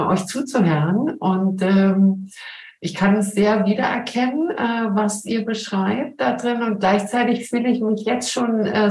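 Slow reflective woman, echoey room. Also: mid-range voice. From Germany. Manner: reflective, many hesitations. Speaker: professor, podcast guest. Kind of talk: casual interview talk.

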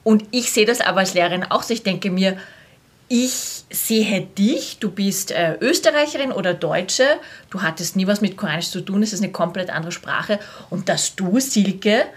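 A woman's voice: mid-range voice, normal speed. Candid Austrian woman chatting casually. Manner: candid, animated storytelling with acted-out quotes and blunt slang. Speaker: language teacher. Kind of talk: casual podcast conversation.

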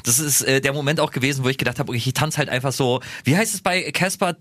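A man's voice: mid-range voice, quick pace, a bit bright, dry recording. Young guy cohosting a podcast, all fired up, keeps restarting, dry close mic. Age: young adult. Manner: enthusiastic, stumbling restarts. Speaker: podcast co-host. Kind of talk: casual chat.

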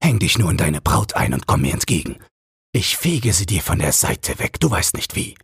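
Man voicing a husky, vengeful old father. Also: low-pitched, huge pitch swings, moderate pace, no room sound, clean studio sound, full character voice. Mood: boastful, threatening. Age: older. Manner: taunting, declamatory, condemning. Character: vengeful, mocking old father, scornful and triumphant. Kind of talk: dramatic audiobook character reading.

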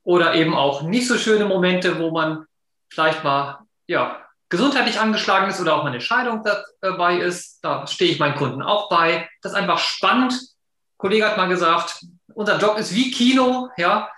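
A man who works as a financial advisor talks about his job with enthusiasm. His voice is high and bright. An echoey room surrounds him. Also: lively intonation, normal speed. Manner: enthusiastic, talkative. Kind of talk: casual chat.

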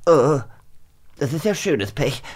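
A man voicing a hungry, irritable little tooth troll on a sugar high, in an excitable, stammering radio-play manner. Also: takes it slowly. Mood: alarmed. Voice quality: high, husky.

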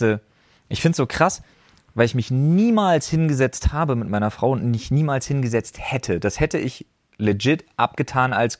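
Male co-host, average pitch, very dry recording, emphatic and blunt in casual podcast discussion. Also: no room sound. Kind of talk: casual discussion among friends.

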